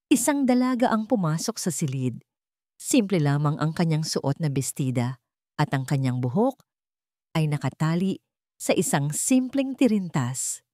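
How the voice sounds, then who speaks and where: A woman reads a sentimental story as a dramatic narrator. Her voice sits fairly low and moves through wide, animated swings of pitch. The delivery is measured and earnest, recorded dry and close. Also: no room sound.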